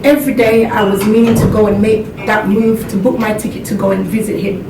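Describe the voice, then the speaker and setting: A woman's voice: fairly even intonation, brisk. Earnest woman speaker in an echoey hall. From Jamaica. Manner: earnest, laughs along with the audience. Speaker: motivational speaker. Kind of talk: motivational seminar talk. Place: seminar room with an audience. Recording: echoey hall, distant mic, background rumble.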